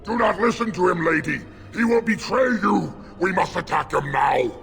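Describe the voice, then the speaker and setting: An actor playing a savage, tormented werewolf pack leader in a fantasy video game, with a snarling, intense voice. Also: male voice, sits high, creaky, fairly bright tone, faint music behind. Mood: furious, urgent. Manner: slow, brooding, intense.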